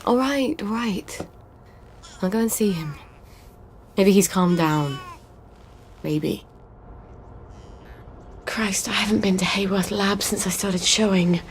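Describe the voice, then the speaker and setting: A woman's voice: mid-range voice, takes it slowly, long pauses, lightly acted. Woman playing a frazzled English young mother, noisy background. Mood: worried, resolving. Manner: cooing baby talk slipping into muttered worry. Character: harried, foul-mouthed young mother fretting over her sick baby. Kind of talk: video game cutscene acting. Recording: clear background noise.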